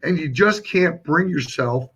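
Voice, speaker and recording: A hoarse man coaching viewers through a live sales training, speaking emphatically and addressing them directly. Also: a little high, expressive, unhurried, fairly bright tone. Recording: dull lo-fi stream audio.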